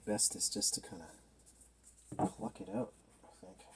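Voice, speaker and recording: A man's voice: measured pace, darkish tone. A middle-aged man narrating casually as he works, thinking aloud in a tentative way in a home workshop. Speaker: DIY maker demonstrating a build. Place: small indoor home workshop. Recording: clearly noisy workshop recording with handling clicks.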